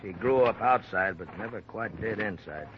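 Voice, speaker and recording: An actor playing a seasoned, laconic small-town sheriff with an American accent and a folksy way of talking, in a radio drama. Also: male voice, lowish voice, moderate pace, close to an everyday voice. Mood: laconic, easygoing. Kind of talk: 1950s radio drama acting. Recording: muffled, noisy old radio recording.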